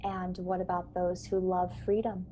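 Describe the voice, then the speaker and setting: A woman's voice: on the low side, flat delivery, normal speed, mellow, natural voice. Woman as earnest starship officer over noisy video call. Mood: grave. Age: young adult. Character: earnest, courteous young female starship officer, gently persuasive. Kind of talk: radio play acting over video call. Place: small bedroom, indoors. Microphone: earbuds on a video call. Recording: clear background noise on video call.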